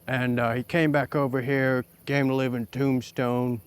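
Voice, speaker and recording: A man's voice: medium pitch, fairly even intonation, unhurried, close to an everyday voice. A man playing a chatty, apologetic Old West townsman with a put-on western accent, coughing and getting sick, who keeps calling people partner. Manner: rambling, calls people partner. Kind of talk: roleplay in a western game.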